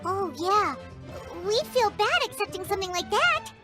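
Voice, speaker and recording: A woman voicing a tiny, childlike fairy companion in a video game, squeaky, bubbly and exclamatory. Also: sing-song, moderate pace. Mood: excited. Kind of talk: scripted video game voice acting. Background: prominent playful music.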